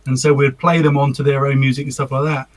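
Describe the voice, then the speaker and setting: A man's voice: mid-range voice, level tone, fast. A man co-hosting a casual online radio show, recalling past events in a rambling, self-correcting way. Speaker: co-host. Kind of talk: casual chat.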